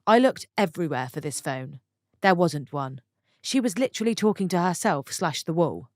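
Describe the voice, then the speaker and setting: Lively low-voiced woman storyteller. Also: moderate pace, no echo, clean studio sound. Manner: expressive, chatty asides to viewers. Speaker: story channel narrator. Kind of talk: reading online stories aloud with own comments.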